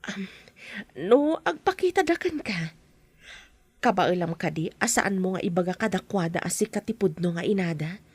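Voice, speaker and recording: An actress playing an earnest younger woman in a radio drama, concerned and questioning in a gently confronting way. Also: on the low side, expressive, normal speed, slightly rough, dry recording. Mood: earnest, pressing.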